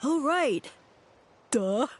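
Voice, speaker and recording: A woman voicing a dim, excitable little talking mushroom sidekick in a video game, goofy and exclamatory. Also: high voice, drawn-out words, faint music behind, exaggerated voice. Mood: flustered. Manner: goofy, echoing the leader, exclamatory. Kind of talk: video game character acting.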